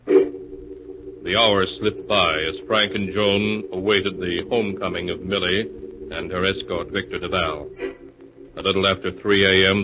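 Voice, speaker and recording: Deep male 1940s radio announcer, noisy recording. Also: muffled recording. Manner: ominous, theatrical. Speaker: announcer and narrator. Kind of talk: 1940s radio scripted narration.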